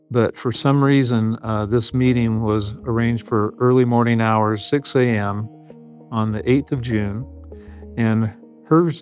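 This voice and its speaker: An American man speaking as a detective in an interview, recounting a case in measured, formal phrasing. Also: medium pitch, darkish tone.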